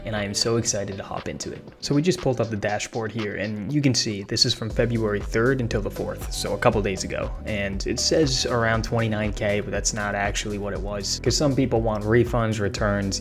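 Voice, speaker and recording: A young man giving a casual, hyped, slang-heavy tutorial on making money online, talking off the cuff to his viewers. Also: fairly low pitch. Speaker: online business creator.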